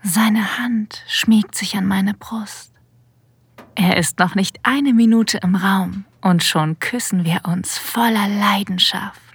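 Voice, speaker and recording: A woman playing a sensual, confident boss in an erotic audio drama, narrating intimately in a breathy whisper, ASMR style. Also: medium pitch, no echo, full character voice. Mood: sensual, secretive. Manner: slow, intimate, deliberate. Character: female boss having a secret affair, sensual and confident. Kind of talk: ASMR-like scripted first-person narration. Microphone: close, dry studio mic.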